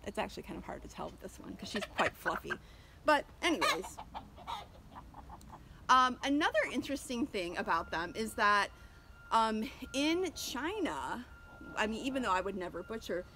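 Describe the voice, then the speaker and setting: A woman's voice: medium pitch, very animated, moderate pace, sharp tone, a little hiss or hum. A woman in her thirties, a homesteader, chatting casually about her chickens outdoors beside a coop, with many ums and restarts. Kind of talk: casual educational vlog. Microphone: camera at close range.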